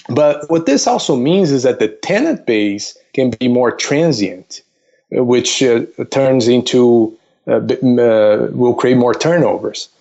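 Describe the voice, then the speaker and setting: Dull-sounding man explaining things haltingly. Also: mid-range voice, vocal fry, a little mellow. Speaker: investment counselor, podcast guest. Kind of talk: conversational explanation on a podcast.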